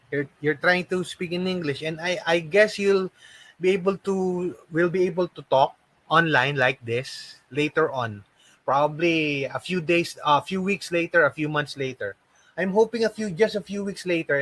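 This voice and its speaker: A man's voice: fairly high pitch, moderate pace, fairly bright tone, no room sound. A man with a Filipino accent teaching a live online lesson, repeating his points and asking questions to encourage learners. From Philippines. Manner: repeats phrases and asks rhetorical questions, encouraging. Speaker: online English and call center trainer.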